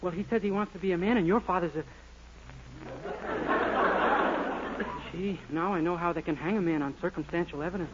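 Casting: actor as guileless boyish youth, high voice, quick earnest delivery, vintage radio comedy. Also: male voice, close to an everyday voice. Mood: puzzled. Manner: innocent, guileless. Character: naive, earnest, boyish young man. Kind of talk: 1940s radio sitcom acting. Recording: muffled, noisy old radio recording.

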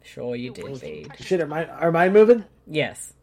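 A man's voice: a little high, lively intonation, unhurried, darkish tone. A man co-hosting a casual movie-riffing chat, making dry, sarcastic quips. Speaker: co-host.

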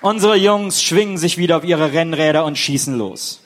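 A man's voice: fairly high pitch, normal speed. A man narrating a comedic audio play in a theatrical storytelling style.

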